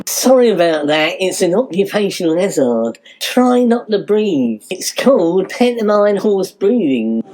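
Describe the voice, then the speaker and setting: A woman's voice: mid-range voice, very animated, unhurried, few pauses, a little mellow, poor audio. A woman playing a nervous, chatty pantomime horse performer in a comic audio sketch, with a fussy, theatrical manner. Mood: chatty, coy.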